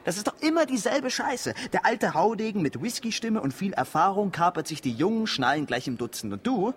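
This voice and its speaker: A man's voice: high voice, big pitch swings, a little fast, a little hiss or hum. An actor playing a jealous, scornful young man in a naturalistic radio drama. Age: young adult.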